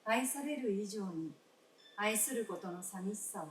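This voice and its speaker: A woman playing a frank, gentle wife in a radio drama, speaking in polite, old-fashioned feminine phrasing. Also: mid-range voice, expressive, a little slow, close to an everyday voice. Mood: wistful. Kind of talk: staged radio drama acting. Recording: roomy stage, distant mic, faint noise.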